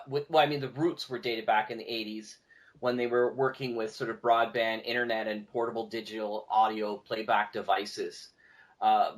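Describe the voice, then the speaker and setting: A man with a medium-pitched voice chats as a podcast guest. He explains and recounts in a discursive, casual way, wandering through details as he goes. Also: fairly bright tone. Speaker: podcast guest, audio drama producer. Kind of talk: casual conversational storytelling.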